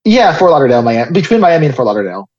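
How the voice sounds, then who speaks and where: A young man raised in South Florida recounts his life story as an interview guest, pausing often with fillers and restarts. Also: on the high side, big pitch swings. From Israel, raised in South Florida. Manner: hesitant, many restarts and fillers, soft laughs. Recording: dull lo-fi audio.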